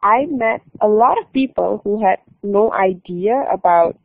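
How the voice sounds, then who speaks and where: A woman's voice: medium pitch, a little slow, creaky, no echo. Young woman, exchange alumna, casual presenter; tinny phone-like call audio. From Malaysia. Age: young adult. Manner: casual, repeats and restarts phrases, says "like" often. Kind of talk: online conference presentation.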